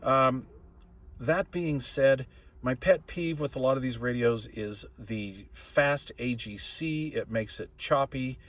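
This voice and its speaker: A man's voice: mid-range voice, slow, fairly bright tone. A middle-aged or older man giving a casual, plain-spoken and opinionated product review as a hobbyist host. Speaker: radio hobbyist channel host reviewing gear.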